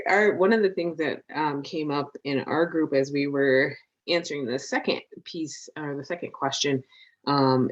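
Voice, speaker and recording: Participant: outspoken woman, mid voice, muffled call audio. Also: low voice. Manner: outspoken, animated. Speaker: workshop participant. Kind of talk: online workshop discussion.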